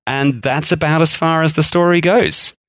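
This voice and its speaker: A man's voice: fairly high pitch, moderate pace, a bit bright, dry recording. Chatty male podcast co-host. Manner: chatty, laughs at himself, tries accents. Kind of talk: casual food history chat.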